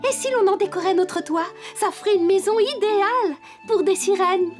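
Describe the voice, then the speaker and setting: A woman's voice: very high voice, normal speed, soft background music. A woman voicing a dainty, particular young mermaid in a French children's cartoon.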